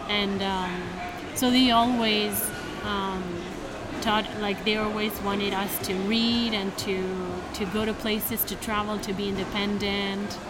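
A young Spanish woman speaks English as a second language, with a Spanish accent, and chats freely in an interview, leaning on "like" as she talks. Her voice sits at a middle pitch for a woman. Clear background noise hums behind her. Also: level tone, unhurried. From Spain. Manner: chatty, many "like" fillers. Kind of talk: casual interview chat. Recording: noisy background ambience.

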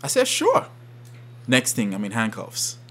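Animated man telling a story. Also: fairly low pitch, measured pace, vocal fry. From Saint Kitts. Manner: animated, laughs often, acts out quotes. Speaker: podcast guest. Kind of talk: storytelling in casual conversation.

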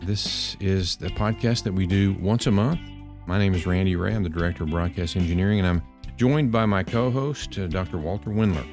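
A man's voice: deep voice, a little fast, darkish tone, faint music behind. A man hosting a podcast in a conversational way. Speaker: podcast host.

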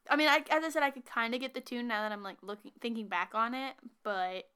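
Young woman, podcast co-host, teasing tone, fairly high voice, bright and clear. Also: fast talker. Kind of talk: casual chat.

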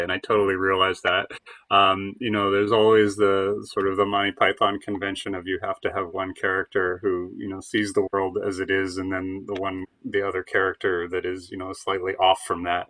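A man's voice: low voice, sharp tone, no echo, poor audio. A man speaking as a playwright in casual discussion, explaining in a rambling, informal way. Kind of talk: casual discussion after a reading.

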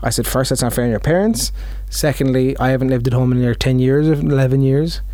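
A man's voice: medium pitch, brisk, dark tone, no room sound. A young man co-hosting a podcast, joking around in easygoing casual chat. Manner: easygoing, jokey banter. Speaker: podcast co-host.

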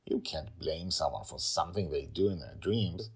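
A man's voice: very deep voice, animated, normal speed, husky, exaggerated voice. A man doing a furious shrieking sister. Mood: bewildered. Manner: shouting, demanding. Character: furious adult woman, sharp-tongued sister.

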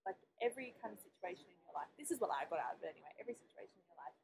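A woman's voice: mid-range voice, animated, racing, creaky. A young Australian woman from Adelaide co-hosting a podcast, chatting casually with many "like" fillers in a busy cafe. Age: young adult. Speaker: podcast co-host. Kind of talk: casual chat.

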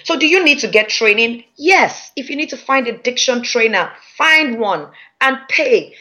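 A woman with a Nigerian accent, a public speaking trainer teaching a masterclass in an emphatic, lively way. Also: on the high side, moderate pace.

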